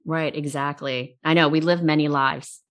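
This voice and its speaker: A woman's voice: low-pitched, fairly even intonation, normal speed. A woman hosting a business interview series, upbeat and friendly, who laughs easily. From immigrant, came at age eight. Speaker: interview host.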